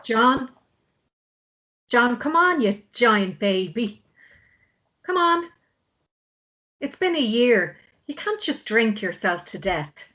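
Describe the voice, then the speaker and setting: A woman's voice: on the high side, sing-song, slow pace, long pauses, pushed and bright, exaggerated voice. A woman playing a blunt, worried, exasperated sister of a grieving drunk in a drama table read. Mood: coaxing. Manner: blunt, pleading.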